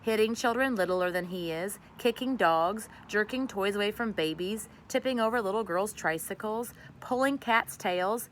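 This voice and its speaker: A woman's voice: mid-range voice, moderate pace, few pauses, fairly bright tone, a little hiss or hum, natural voice. A woman voicing a cold, accusing neighbour mother in a children's storybook, stern and curt. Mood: stern, indignant. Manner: stern, curt, listing grievances. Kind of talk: children's storybook read aloud.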